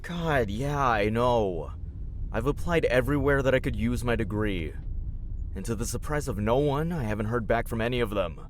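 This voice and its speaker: A man's voice: mid-range voice, expressive, moderate pace, a little hiss or hum, close to an everyday voice. A young man playing a sarcastic, resentful grown son in an audio drama. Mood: frustrated, defensive. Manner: sarcastic, sullen.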